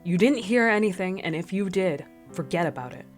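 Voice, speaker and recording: A woman's voice: on the low side, lively intonation, brisk, faint music behind, natural voice. A woman playing a stern, impatient crew captain, curt and commanding, in a radio drama. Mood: irritated.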